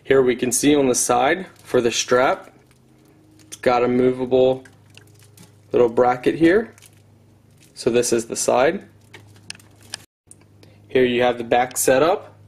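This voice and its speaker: A man's voice: medium pitch, slow pace, pauses often, darkish tone. A man in his thirties doing a casual product unboxing review, talking as a reviewer at home. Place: room at home.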